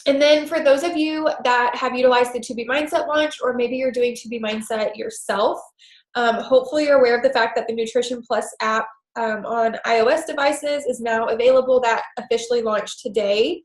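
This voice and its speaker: Stuffy-nosed woman hosting a team call, peppy, lots of ums, kinda high voice, echoey room. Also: fairly even intonation, brisk. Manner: upbeat and enthusiastic with many "super"s and "um"s. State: congested from a head cold. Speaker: team leader of a coaching group.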